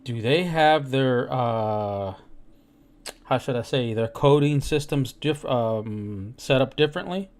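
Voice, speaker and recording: A man's voice: mid-range voice, lively intonation, slow, darkish tone. A man in casual conversation, blunt and sweary, often checking that listeners follow him. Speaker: crypto live stream participant. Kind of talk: casual chat.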